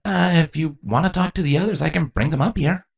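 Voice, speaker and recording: A man voicing a sheepish, awkward male changeling king with a hesitant, halting delivery in a storybook reading. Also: a little high, vocal fry, breathy edge, voice pushed up. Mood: awkward, friendly.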